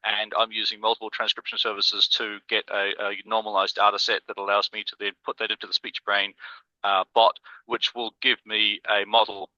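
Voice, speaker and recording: A man's voice: fairly low pitch, flat delivery, brisk, pushed and bright, no echo. A man with an accent between New Zealand and English, explaining technical points in a casual online meeting. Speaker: community member in online meeting. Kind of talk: casual discussion. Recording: slightly muffled call audio.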